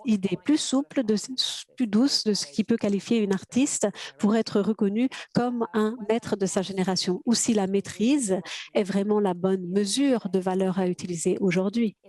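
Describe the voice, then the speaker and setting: A woman's voice with a dark, mellow tone and middling pitch, working as a simultaneous interpreter at a conference. She speaks in bursts, stopping to restart phrases and fill gaps with hesitations. She comes through a video call. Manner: halting, with frequent restarts and fillers. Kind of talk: simultaneous interpretation of an art history talk.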